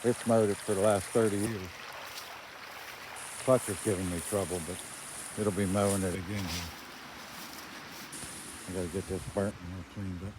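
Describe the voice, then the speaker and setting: A man's voice: sits low, fairly even intonation, normal speed, long pauses. An older man giving a casual, folksy walk-around commentary outdoors, thinking aloud in plain words as he goes. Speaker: vlogger showing his farm. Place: outdoors on a rural farm. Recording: very noisy outdoor amateur recording.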